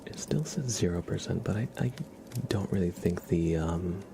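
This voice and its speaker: A man hosting an ASMR livestream, chatting casually to viewers in a soft, mostly whispered, gentle and unhurried murmur. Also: deep voice, creaky, noisy background.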